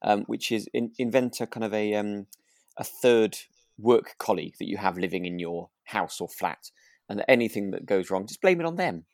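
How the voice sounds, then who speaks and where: A woman co-hosting a podcast in a relaxed chat style, agreeable and a little hesitant, correcting herself as she goes. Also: a bass voice, normal speed, no room sound, clean studio sound. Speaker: podcast co-host. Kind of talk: relaxed conversational drama recap.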